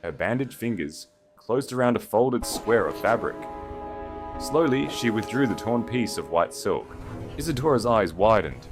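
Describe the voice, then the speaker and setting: A man's voice: lowish voice, big pitch swings, moderate pace. A man reading a scripted fantasy romance as a dramatic audiobook narrator, with music behind the narration.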